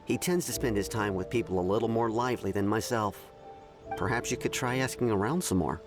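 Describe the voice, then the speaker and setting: A man's voice: lowish voice, a little fast, natural voice. A man voicing a reserved, observant man in a video game who speaks in a thoughtful, polite way. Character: measured, observant man keeping an eye on the city. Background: game music.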